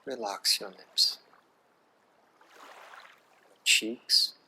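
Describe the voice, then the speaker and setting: A man guiding a yoga relaxation meditation in a hushed, soothing manner, in short phrases with long pauses. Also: slight background noise. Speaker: yoga nidra guide.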